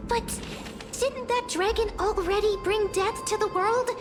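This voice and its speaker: A woman voicing a gentle, anxious young girl in a video game, with a hesitant, thoughtful delivery. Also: extremely high pitch, a little slow. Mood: doubtful. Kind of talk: dramatic game voice acting. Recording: music behind the voice. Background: music.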